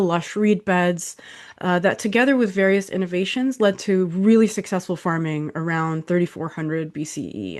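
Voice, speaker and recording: A middle-aged woman from Saudi Arabia, a historian, giving thoughtful, chatty answers in an interview over a video call. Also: fairly low pitch. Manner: thoughtful, warm, with soft laughs and fillers. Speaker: historian guest.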